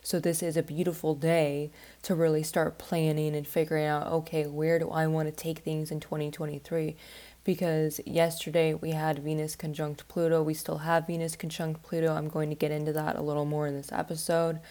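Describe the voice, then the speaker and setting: A woman talks through her astrology podcast in a loose, rambling way, full of little fillers. Her voice sits low and stays fairly level, and her nose sounds stuffed up from a recent flu. The tone is mellow rather than bright. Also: monotone, normal speed. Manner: rambling and chatty, with many "like" fillers. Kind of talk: casual podcast monologue.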